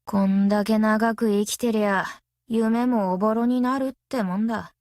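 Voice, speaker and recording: A woman voicing a brash, easygoing, long-lived female master metalsmith in an anime game, with rough, cocky, tomboyish speech. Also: medium pitch, fairly even intonation, normal speed, a little mellow, no room sound, clean studio sound, lightly acted. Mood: musing, self-assured. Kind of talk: anime mobile game character voice lines.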